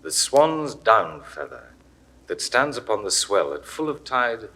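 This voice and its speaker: An actor playing a passionate Roman general in a 1960s radio play, declaiming grandly. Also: male voice, fairly low pitch, sing-song, unhurried, heavily acted, rough recording. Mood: tender. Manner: declamatory, forceful.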